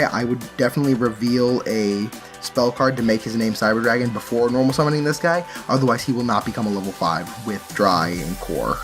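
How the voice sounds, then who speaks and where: A man giving a casual, explanatory walkthrough as a card game player presenting a deck. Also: mid-range voice.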